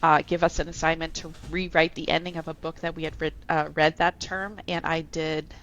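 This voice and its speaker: A woman's voice: deep voice, little pitch movement, a little fast, a bit bright. A woman from Canada, an author, chatting about her writing as an interview guest with plenty of ums and restarts. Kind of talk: casual interview talk.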